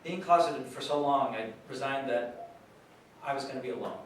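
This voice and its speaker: A man's voice: medium pitch, normal speed, natural voice. Echoey, distant man acting an earnest youth worker. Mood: confessional. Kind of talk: staged reading monologue. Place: live staged reading in a large room. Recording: very echoey hall, distant mic, faint noise.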